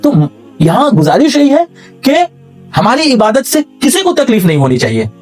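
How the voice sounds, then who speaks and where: A man's voice: extremely high pitch, wildly swooping pitch, normal speed, a little mellow, faint music behind. A man from India makes an earnest public appeal, emphatic and stacking his points in lists.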